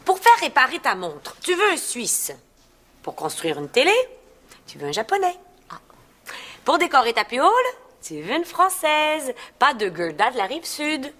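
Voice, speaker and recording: A woman's voice: high voice, very animated, normal speed, fairly bright tone, a little hiss or hum. An actress playing a brash, self-satisfied woman decorator who puts on a chic Parisian French accent and slangy, theatrical airs. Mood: gushing, triumphant. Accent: put-on Parisian French.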